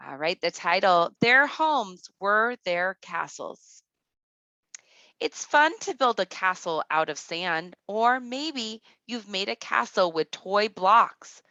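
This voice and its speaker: A woman teacher reading aloud to kindergartners, speaking deliberately and instructively, with asides addressed directly to the children. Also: medium pitch, sharp tone, dry recording, dull audio.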